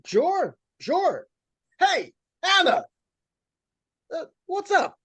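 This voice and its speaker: A man's voice: extremely high pitch, big pitch swings, extremely slow, noisy background, full character voice. A man playing an irascible, blustering neighbor in an old-time radio suspense play. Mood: affable, innocent. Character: angry, blustering, hard-of-hearing grown man, grumpy neighbor.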